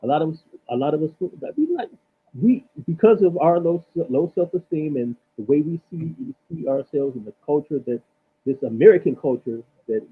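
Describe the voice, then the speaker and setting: A man speaking as a guest in a casual discussion, earnest and halting, restarting his sentences. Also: a little high, expressive, breathy edge. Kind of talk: casual chat. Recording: tinny phone-like line with faint hiss.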